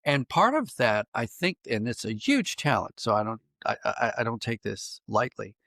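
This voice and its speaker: A middle-aged or older American man explaining ideas in a conversational interview, thoughtful and halting, often restarting his phrases. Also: medium pitch, sing-song, vocal fry, a bit bright, no echo, studio quality. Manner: thoughtful, halting with repeated false starts. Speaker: podcast guest, writer and dramatist.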